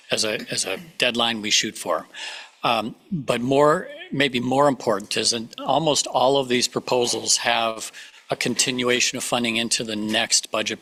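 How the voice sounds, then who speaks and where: A man official, hoarse from a cold, explaining budget timelines to a council in a measured way with frequent restarts. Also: lowish voice, sharp tone, rough recording. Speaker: government official advising the council. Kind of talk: public meeting remarks. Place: meeting room.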